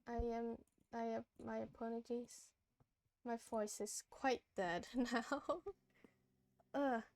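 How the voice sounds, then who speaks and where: A woman's voice: on the high side, steady tone, slow, darkish tone, no echo. A woman hosting a live game stream in casual, giggly chat, her voice tired and strained from hours of talking. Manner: giggly, chatty. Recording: background music behind close dry voice.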